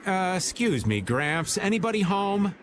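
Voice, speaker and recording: An actor playing a cocky, whiny middle-aged rogue in a video game, theatrical and complaining, with an American accent. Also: male voice, sits high, sing-song, measured pace, fairly bright tone, slight background noise, full character voice. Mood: cocky, exasperated.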